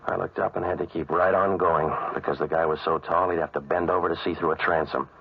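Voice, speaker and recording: An American actor playing a cynical waterfront odd-job man in a 1940s radio noir, delivering sardonic, deadpan wisecracks and narration. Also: low-pitched, fast talker, creaky, breathy edge, exaggerated voice. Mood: wry, world-weary. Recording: muffled, noisy old radio recording.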